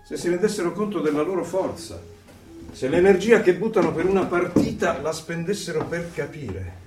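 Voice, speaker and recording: A man's voice: on the high side, normal speed, close to an everyday voice. A man acting an earnest, reflective dissident voicing secret thoughts in a radio drama. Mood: hopeful. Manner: reflective, intense. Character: earnest, brooding male dissident narrating his secret thoughts. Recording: echoey, distant over music.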